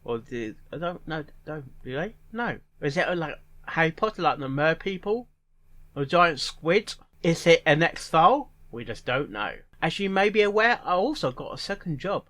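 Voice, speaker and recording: A man giving casual reaction commentary in a halting way, repeating phrases and musing aloud in questions. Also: on the high side, sing-song, fairly bright tone. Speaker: reaction video host.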